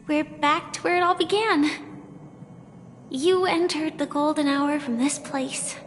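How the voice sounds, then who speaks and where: An actress voicing a gentle young woman who haltingly confesses a hidden identity in a video game, in a soft, earnest way. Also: high-pitched, fairly even intonation, a little hiss or hum. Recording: game audio with reverb and music.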